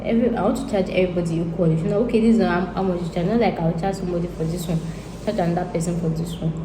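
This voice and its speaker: A woman's voice: on the low side, steady tone, rapid-fire. Nigerian woman vlogger, thinks aloud and rambles, slightly roomy sound with faint hiss. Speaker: YouTuber. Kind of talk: casual monologue to viewers. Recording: echoey room with faint ambient noise.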